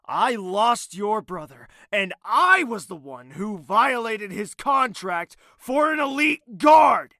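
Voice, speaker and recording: A man acting an embittered, proud crown prince who calls himself a villain in a fantasy audio drama, theatrical and sardonic, swinging from hushed to explosive. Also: sits high, sing-song, slow pace, creaky, few pauses, no echo, clean studio sound, heavily acted. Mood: bitter, furious.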